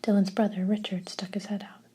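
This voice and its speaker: A woman reading a children's story aloud in a steady, soft whisper. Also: mid-range voice, a little fast, slightly rough. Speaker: narrator.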